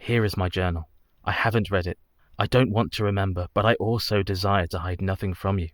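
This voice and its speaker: An actor playing a haunted, devoted young Victorian husband in a radio play, solemn and formal. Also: male voice, sits low, fairly even intonation, brisk, dry recording, clean studio sound, close to an everyday voice.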